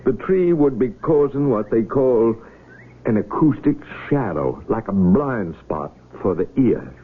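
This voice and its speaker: An actor playing a calm, inquisitive country physician in a radio drama, with a husky American voice and a measured, gently questioning manner. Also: male voice, mid-range voice. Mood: patiently explaining. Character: calm, inquisitive, patient country physician of the Civil War era. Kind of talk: 1970s radio drama acting. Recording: narrow, tinny vintage radio broadcast.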